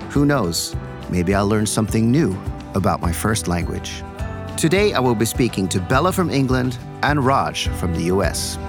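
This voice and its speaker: A man hosting a podcast interview, measured in tone and a little halting when asking questions. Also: lowish voice. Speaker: podcast host.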